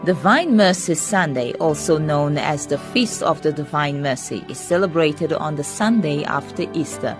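Low-voiced radio host. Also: female voice, lively intonation, normal speed, hardly any pauses, music in the background. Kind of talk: scripted news reading. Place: radio studio.

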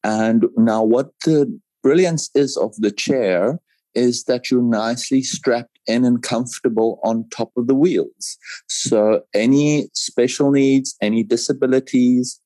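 A man's voice: mid-range voice, unhurried, dark tone, dry recording, low-quality recording. A man, explaining things reassuringly as an interview guest and repeating phrases for emphasis. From South Africa. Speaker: paragliding pilot, interview guest. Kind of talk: conversational interview answers.

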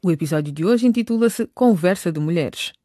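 A woman's voice: lowish voice, animated, fairly quick, dark tone, no echo, studio quality. A woman reading scripted narration for a radio drama.

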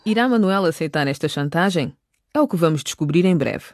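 A woman's voice: low-pitched, animated, fairly quick, dry recording. A woman narrating a radio drama from a script, close on the microphone.

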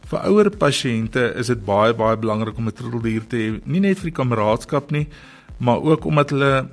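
A man's voice: medium pitch, expressive, a little fast. A man speaking as a radio guest, earnest and repeating words as he thinks. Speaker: studio guest. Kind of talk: radio interview.

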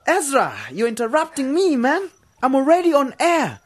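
An actor playing a cocky, excitable young village man who fancies himself a radio DJ, showy and slangy in a radio drama. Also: sits high, normal speed. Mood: excited, proud. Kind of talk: radio drama dialogue with hyped DJ patter.

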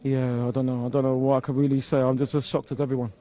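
Rapid, insistent man with Nigerian accent. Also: medium pitch, little pitch movement, dark tone. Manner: insistent, repeats himself when provoked. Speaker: football fan being interviewed. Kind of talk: street interview.

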